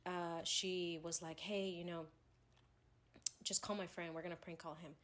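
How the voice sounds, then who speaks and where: A woman co-hosting a podcast in casual chat, animated and teasing, laughing often. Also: lowish voice, measured pace. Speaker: podcast co-host.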